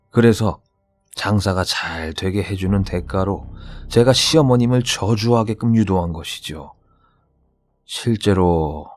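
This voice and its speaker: A man's voice: low voice, a little mellow. A man playing a sly, candid shaman in a horror radio drama, speaking in a knowing, conversational way with dramatic emphasis. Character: sly, candid shaman confiding about vengeful ghosts.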